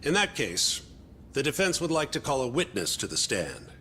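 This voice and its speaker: An actor playing an insistent male defense lawyer in a video game dub, questioning in a formal, deliberate way. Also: medium pitch, wildly swooping pitch, heavy background noise. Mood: formal, pressing. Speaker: defense attorney character. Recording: dramatic music behind the dialogue.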